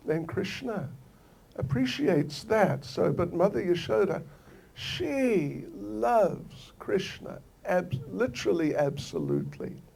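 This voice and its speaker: An older man from New Zealand gives a devotional lecture at a very unhurried pace. His voice is mellow and dark, with a husky, gravelly edge, and he tells the story gently, coming back to the same phrases again and again. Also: fairly high pitch. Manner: slow, gentle storytelling with soft laughs and repeated phrases. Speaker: swami giving a scripture lecture.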